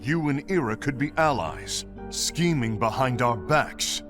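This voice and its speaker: A man's voice: medium pitch, animated, unhurried, natural voice. A man playing a firm, persuasive leader over music. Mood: accusing. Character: stern male leader urging an alliance. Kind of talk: fan dub voice acting. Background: background music.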